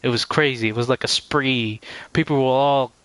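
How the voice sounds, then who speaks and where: A man's voice: medium pitch, moderate pace, fairly bright tone, no echo. A man chatting casually and in a rambling way as a game streamer, talking to his viewers over gameplay. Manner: rambling, addresses viewers by name.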